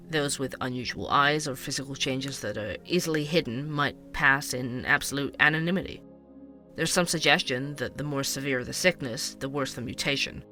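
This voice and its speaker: Bright-voiced, chatty male podcast host. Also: on the high side. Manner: conversational, wry, explanatory. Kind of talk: scripted fiction podcast narration and interviews.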